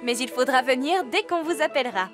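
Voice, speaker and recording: A woman's voice: sits high, lively intonation, fairly quick, fairly bright tone, faint music behind. A woman voicing a prim, grown-up fairy carer in a children's cartoon. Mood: prim, composed. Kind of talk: animated children's series dubbing.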